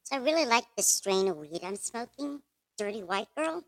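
A woman's voice: sing-song, moderate pace, no echo, clean studio sound. A woman chatting casually in a playfully blunt, mock-tough way. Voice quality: squeaky at times. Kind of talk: casual chat.

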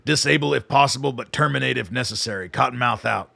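An actor playing a weary, stubborn army colonel in a radio drama, with a gravelly, raspy voice and blunt, clipped orders. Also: male voice, medium pitch, fast, bright, forceful tone, dry recording, exaggerated voice. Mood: grim, defiant.

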